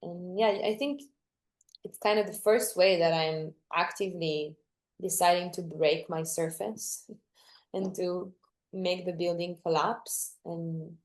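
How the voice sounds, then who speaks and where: Reflective young woman, lowish voice. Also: steady tone. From Greece. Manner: halting, searching for words with many restarts. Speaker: guest dancer. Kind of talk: reflective interview talk.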